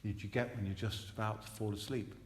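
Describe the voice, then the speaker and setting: A man leading a guided relaxation meditation as a teacher, soothing and hypnotic, repeating phrases between long pauses. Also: fairly low pitch, little pitch movement. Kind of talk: guided relaxation meditation, spoken live to a group. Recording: echoey room with long reverb, faint noise.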